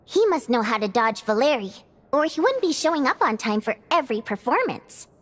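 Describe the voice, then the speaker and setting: A woman playing a bubbly, cunning young theater singer in a video game, expressive, chirpy and coaxing. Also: high-pitched, a little fast, full character voice. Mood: confiding, scheming. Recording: music under the voice.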